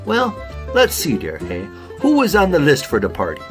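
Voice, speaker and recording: Guy as a chatty folksy beaver, pitch jumping all over, kids audio play with music. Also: wildly swooping pitch, moderate pace. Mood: folksy, friendly. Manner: folksy, repeats "oh yeah" and "hey". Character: friendly, folksy beaver from up north. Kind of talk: children's audio drama.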